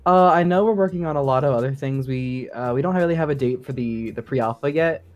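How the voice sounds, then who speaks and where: A man's voice: mid-range voice, a little fast, darkish tone, lo-fi sound. A man chatting casually and informally as a guest co-host on a gaming podcast, prone to giggling. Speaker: guest co-host, sound designer. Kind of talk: casual chat.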